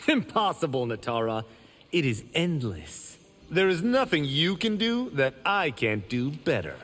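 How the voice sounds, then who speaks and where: A man's voice: on the high side, very animated, measured pace, fairly bright tone, heavily acted. An actor playing a proud, boastful young male martial arts champion in a video game, with a smug, self-assured, grand delivery. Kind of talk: video game character dialogue.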